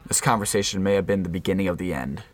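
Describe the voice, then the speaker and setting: A man playing an uneasy undercover federal agent in a scripted radio play, with a measured, solemn delivery. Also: sits low. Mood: grave, reflective. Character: undercover male FBI agent narrating a compound infiltration, uneasy. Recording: faint background noise.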